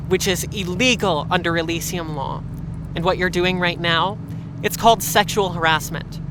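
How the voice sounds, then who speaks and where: A woman's voice: lowish voice, background noise, natural voice. An actress playing a jaded, guarded young woman in a noir audio drama, speaking in a dry, weary, restrained way. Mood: defiant. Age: young adult. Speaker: lead character and narrator in an audio drama.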